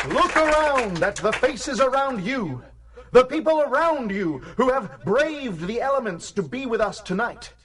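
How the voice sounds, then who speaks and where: An actor playing a fervent orator addressing an assembly in a radio drama, with grandiose, preacherly emphasis. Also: male voice, sits high, a bit bright, far from the mic, slight background noise. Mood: uplifting. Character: fervent male rabble-rousing orator addressing a community assembly. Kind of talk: theatrical political speech in radio drama.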